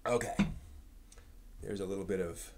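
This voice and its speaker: A man hosting a casual live stream, talking in a low-key, halting, muttering way. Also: creaky, background noise.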